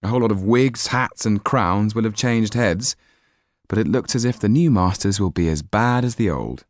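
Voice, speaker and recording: A man's voice: on the low side, normal speed, no room sound, studio quality, voice pushed up, natural voice. Man as an impassioned young English idealist. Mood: earnest. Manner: earnest, impassioned. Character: idealistic, passionate seventeen-year-old young man.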